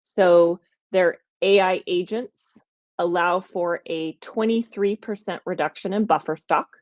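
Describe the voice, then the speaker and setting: Energetic woman hosting a podcast. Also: on the low side, no echo, muffled recording. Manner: energetic, emphatic, thinking aloud with restarts. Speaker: podcast host. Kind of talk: conversational podcast talk.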